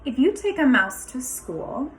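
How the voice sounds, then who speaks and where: Woman, kindergarten teacher, highish lively voice, children's story read-aloud, instructive, faint music behind. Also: a little slow. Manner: expressive, instructive, addressing young children. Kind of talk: children's picture book read-aloud with asides.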